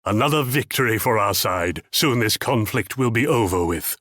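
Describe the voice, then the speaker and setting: An actor playing a haughty, shrewd Dutch military commander in a strategy game, pompous, theatrical and patronizing. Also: male voice, on the low side, animated, moderate pace, a bit bright, no room sound, studio quality. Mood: smug triumph. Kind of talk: scripted strategy game character lines.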